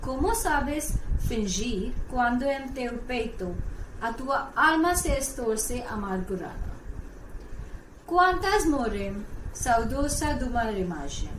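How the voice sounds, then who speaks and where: Slow, high-voiced young woman reciting poetry. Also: expressive. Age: young adult. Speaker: poetry reader. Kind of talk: recited poem, read aloud. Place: indoor room at home. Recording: roomy, distant, noisy phone recording.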